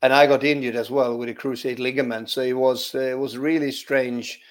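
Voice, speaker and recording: A man with a Swedish accent talks through his football memories in an easygoing interview. He hesitates often, restarting sentences and filling pauses with "you know". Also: medium pitch, flat delivery. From Sweden. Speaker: former footballer, guest. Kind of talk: relaxed interview answers.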